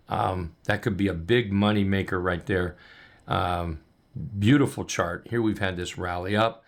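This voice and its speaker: A man coaching on trading, talking loosely and upbeat with many ums and restarts as he walks through charts, his voice going hoarse. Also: low voice. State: losing his voice. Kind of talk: casual chart walkthrough.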